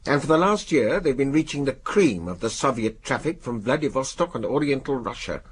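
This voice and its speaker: An English actor in a radio drama playing a brusque older spy chief, clipped, gruff and commanding. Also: male voice, mid-range voice, lively intonation, a little fast. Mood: brisk, confidential.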